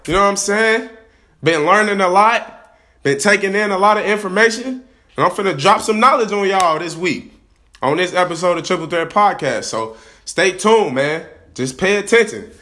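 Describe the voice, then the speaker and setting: Young male podcast host, swaggering and slangy, voice hoarse from a cold; bright, forceful tone. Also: sits high, expressive, normal speed. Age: young adult. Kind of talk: casual vlog-style podcast talk. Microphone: camera.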